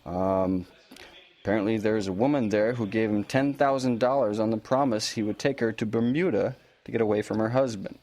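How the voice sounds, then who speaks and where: A man acts as an easygoing small-town police officer in an audio drama. His voice sits on the low side, and he talks politely and informally, with a touch of awkwardness. The dialogue feels natural and conversational. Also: a little fast, darkish tone, natural voice. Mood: informative.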